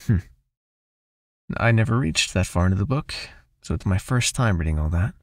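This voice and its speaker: A man playing a seductive, possessive vampire hypnotist in an intimate ASMR roleplay, soothing and teasing as he gently commands. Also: low-pitched, lively intonation, moderate pace, dry recording, studio quality. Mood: musing, intimate.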